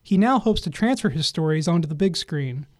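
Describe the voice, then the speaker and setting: A man reading a scripted news feature as the reporter, in a measured way. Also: a little high, lively intonation, no echo, clean studio sound.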